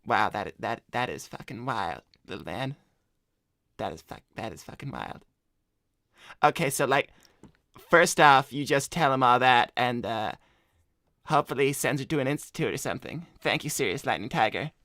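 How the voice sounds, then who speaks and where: A laid-back scumbag hedgehog played by a man with a mid-pitched voice. He talks in slangy, deadpan, self-assured livestream chat. The recording is close and dry. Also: moderate pace, no room sound. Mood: reading aloud. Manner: slangy, swearing, deadpan bragging. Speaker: cartoon character co-hosting an advice livestream. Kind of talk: improvised in-character chat, reading donor messages.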